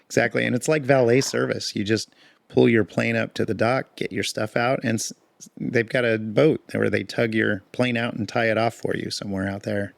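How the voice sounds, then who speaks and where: A man co-hosting a podcast in casual chat, a bit hoarse, talking in a relaxed, rambling way with fillers. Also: mid-range voice, normal speed, no echo. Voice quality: gravelly. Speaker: podcast co-host.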